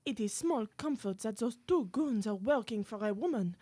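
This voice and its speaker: A woman acting as a grand, theatrical lady in a comedy radio serial. The voice sits high and is recorded dry and close. She speaks as a proud secretary with a mysterious past. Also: lively intonation, normal speed, warm tone, dry recording. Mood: proud, wounded.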